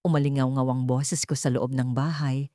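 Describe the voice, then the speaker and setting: A woman's voice: extremely low pitch, flat delivery, moderate pace, mellow, no room sound, studio quality. A woman reading a scripted first-person horror story in a dramatic, suspenseful manner.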